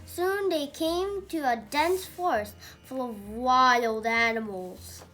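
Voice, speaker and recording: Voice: high-pitched, takes it slowly, sharp tone. A child reading a mythological storybook aloud in a careful, emphatic way, with occasional stumbles over words.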